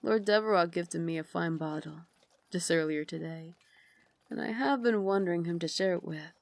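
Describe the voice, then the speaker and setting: Woman playing a soft-spoken, low Victorian vampire lady. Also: moderate pace, vocal fry, dry recording. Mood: gracious, refined. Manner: refined, formal, soft-spoken, doting. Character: elegant Victorian vampire lady, caring and gently commanding. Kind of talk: ASMR roleplay, slow intimate scripted acting.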